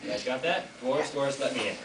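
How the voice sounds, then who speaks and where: A man's voice: mid-range voice, brisk. A teenage boy narrating a fairy tale in a school reader's theater, playfully joking with the audience. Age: teens. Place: school classroom or hall. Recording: echoey room from far off, noisy.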